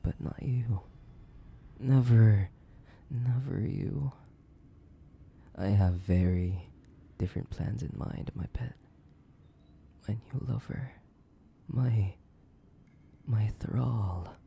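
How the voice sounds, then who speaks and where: A man playing a sadistic, possessive, centuries-old vampire in a horror roleplay, theatrical and menacing, with a creaky voice that lapses into breathy whispers. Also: fairly low pitch, extremely slow, long pauses, warm tone, a little hiss or hum, heavily acted. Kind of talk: dramatic horror roleplay audio, addressing the listener.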